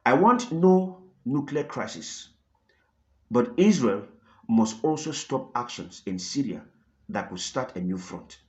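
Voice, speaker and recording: A man voicing grave, blunt male world leaders in a retold phone call, speaking firmly and deliberately. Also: mid-range voice, animated, darkish tone. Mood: grave, warning. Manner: heavy, deliberate, firm.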